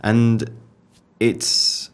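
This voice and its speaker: A man from England with a Birmingham accent, chatting reflectively as a podcast interviewer and often repeating his phrases. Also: extremely slow. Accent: Birmingham English. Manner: reflective, repeats phrases, many "you know" and "like". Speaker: podcast interviewer, music photographer. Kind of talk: casual conversation.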